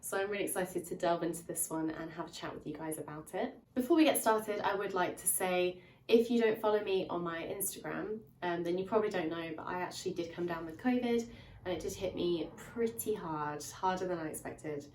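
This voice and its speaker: A woman's voice: fairly low pitch, a little fast, darkish tone, distant mic, slight background noise. Stuffy-nosed woman chatting in a roomy space. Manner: chatty, recaps plots with frequent "um" and "kind of". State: slightly nasal, stuffy from a lingering cold. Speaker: book reviewer and channel host. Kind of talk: casual spoiler-filled book review to camera.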